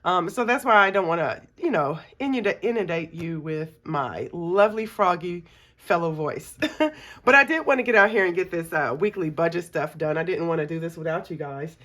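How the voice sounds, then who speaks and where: A woman's voice: fairly low pitch, animated, moderate pace, fairly bright tone. A middle-aged woman, a scratchy voice from a cold, chatting casually with many ums and counting money aloud. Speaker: budgeting channel host. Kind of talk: casual chat while cash stuffing.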